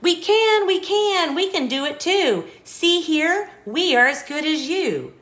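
A woman voicing boastful, playful animals from a picture book, with excited singsong bragging. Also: very high voice, slow pace, roomy sound, pitched above the performer's own voice, heavily acted. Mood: excited, competitive. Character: boastful, playful cartoon animals showing off balancing tricks.

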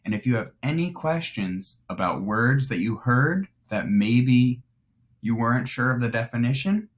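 A man's voice: medium pitch, expressive, a little slow, slightly rough. A man in his thirties reading aloud to young children as their teacher, explaining things in simple terms. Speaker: elementary school teacher.

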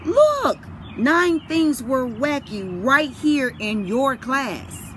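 Excitable storybook kid, alarmed and exclaiming, played by a woman; high, very animated, outdoor noise. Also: very slow, full character voice. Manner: exclamatory, swooping. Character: startled young child storyteller, excitable and alarmed.

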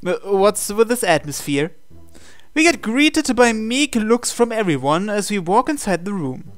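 Slow, plain let's play reader. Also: medium pitch, big pitch swings, no room sound. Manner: plain, unhurried dubbing of the hero and narration. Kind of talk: reading visual novel lines aloud in English.

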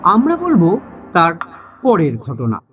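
Slow, dramatic story narrator with swooping pitch. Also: wildly swooping pitch, fairly bright tone, dull audio, soft background music. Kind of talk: scripted horror story narration.

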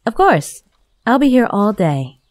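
Small town teacher lady in a game, calm and helpful, voice goes up and down a lot. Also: a little high, a little slow. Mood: calm, welcoming. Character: calm, obliging female small-town schoolteacher. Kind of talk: video game cutscene acting.

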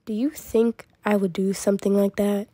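A woman's voice: mid-range voice, little pitch movement, moderate pace, mellow, breathy edge, no echo, lightly acted. A woman voicing a smug genius inventor turtle in a cartoon comic dub, dry and theatrically formal. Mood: sly. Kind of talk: fan comic dub acting.

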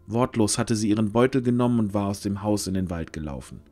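A man's voice: fairly low pitch, fast talker, dark tone, faint music behind. A man narrating a scripted fantasy story for an audio drama.

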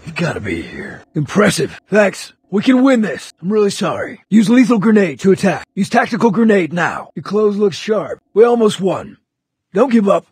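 An actor playing a tough, intense male squad leader with a raspy voice in video game lines. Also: high voice, big pitch swings, moderate pace, darkish tone, no echo, studio quality, heavily acted. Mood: intense, firm. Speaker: game operator.

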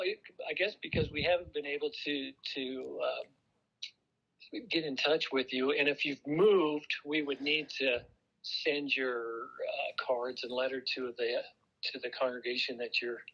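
Over a muffled phone recording, a middle-aged American man talks in a polite, casual way. He is hesitant and halting as he explains himself. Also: mid-range voice, fairly even intonation, fairly bright tone, breathy edge. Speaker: congregation elder on a phone call.

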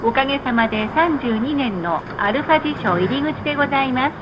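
A woman reading scripted stop announcements on a bus in a measured, formal, polite manner. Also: high-pitched, expressive. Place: played over speakers inside a moving bus. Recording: muffled, noisy recording inside a bus. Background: bus engine and road noise.

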